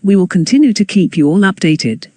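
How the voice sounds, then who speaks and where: An announcer reading scripted emergency news bulletins in a formal, measured way. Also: medium pitch, lively intonation, mellow, slightly rough, no echo.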